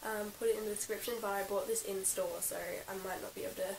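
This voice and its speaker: A young Australian woman, croaky from being sick, chatting casually in a vlog about her favourite horse gear, giggling often and filling her talk with ums and likes. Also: medium pitch, level tone, quick pace. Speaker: equestrian vlogger. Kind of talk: casual show-and-tell vlog. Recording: roomy, slightly distant with hiss.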